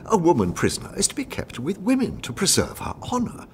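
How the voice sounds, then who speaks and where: Timid, very animated medieval vicar. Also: male voice, high voice, brisk, slight background noise, heavily acted. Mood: uneasy objection. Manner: hesitant, deferential objecting. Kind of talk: audio drama acting.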